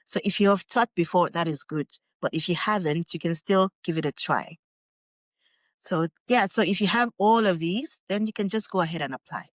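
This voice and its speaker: A young Nigerian woman, a guest on an interview podcast, telling her story in a relaxed, chatty way and laughing often. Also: on the low side, moderate pace, no echo. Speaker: guest, English teacher in Japan.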